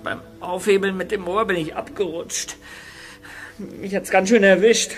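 A man's voice: sits high, fairly even intonation, unhurried, faint music behind. An injured, exasperated teenage boy. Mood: hurt. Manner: strained, exasperated.

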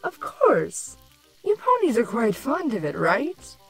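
Lady doing a lovesick villain bug queen, unhurried and swoopy, sultry coy cooing, storybook with music behind. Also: fairly high pitch, huge pitch swings, breathy edge, full character voice. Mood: doting, coy. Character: doting, sultry female changeling queen villain in love. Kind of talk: dramatic fan fiction reading.

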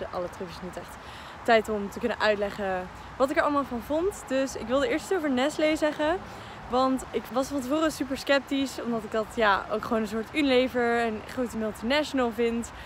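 A woman's voice: on the high side, brisk, background noise. A woman in her twenties talking casually to a phone camera held at arm's length outdoors, thinking aloud with fillers. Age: 20s. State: out of breath after running. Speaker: vlogger, master's student. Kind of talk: casual vlog talk to camera. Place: outdoors on a path by trees.

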